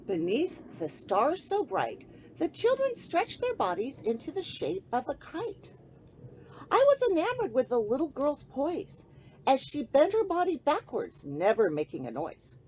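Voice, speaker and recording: A woman reading a rhyming children's story aloud in an expressive, sing-song way, speaking directly to young listeners. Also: a little high, slight background noise, rough recording.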